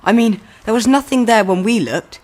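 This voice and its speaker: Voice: mid-range voice, expressive, moderate pace, natural voice. A performer playing an earnest, polite schoolboy who questions his elders respectfully in a radio drama. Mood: puzzled, sceptical.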